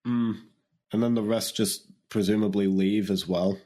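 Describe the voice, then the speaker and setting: A man whose voice is worn hoarse by illness, husky and a little low, trading jokey jabs with his co-host. He talks casually, breaking into a teasing tone as he goes. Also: fairly even intonation, moderate pace, mellow. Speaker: podcast co-host. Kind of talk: casual film discussion.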